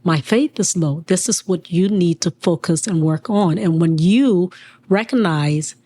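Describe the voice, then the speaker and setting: A woman in her forties, originally from Liberia, speaks as an interview guest in a somewhat low voice. She talks earnestly and in measured phrases, pausing to gather her thoughts. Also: warm tone. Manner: earnest, measured, pauses mid-sentence. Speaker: guest, business owner. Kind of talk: reflective personal interview.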